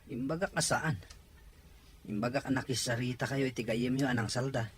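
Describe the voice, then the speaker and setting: A man's voice: medium pitch, normal speed, natural voice. A man with an Ilocano accent acting as a worried adult son in an audio drama. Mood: subdued, respectful. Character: adult son anxious about the family's pawned farmland.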